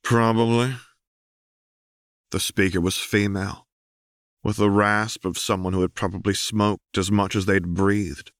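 A man's voice: deep voice, flat delivery, normal speed, a bit bright, dry recording, studio quality. A man reading fiction as a scripted audiobook narration.

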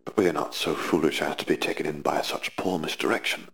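Man voicing a creaky, fervent dark wizard. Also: rumbling low, brisk, full character voice. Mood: solemn zeal. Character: fervent male dark wizard rallying his brother to war. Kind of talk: audiobook character dialogue.

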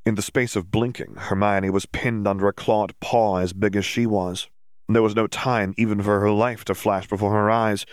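Male audiobook narrator, lowish voice, very dry close sound, scripted story read. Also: level tone, moderate pace, clean tone, no room sound.

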